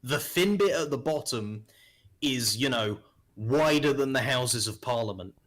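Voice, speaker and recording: A man's voice: mid-range voice, animated, unhurried, fairly bright tone. A man in his thirties narrating a live online tabletop game as game master. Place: indoors at home, on webcam.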